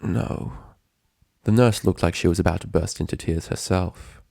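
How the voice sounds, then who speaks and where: A man's voice: sits low, a little fast, vocal fry, dark tone, no echo, studio quality. A man reading a story aloud as its narrator, in scripted prose narration. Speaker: narrator, fan fiction reader.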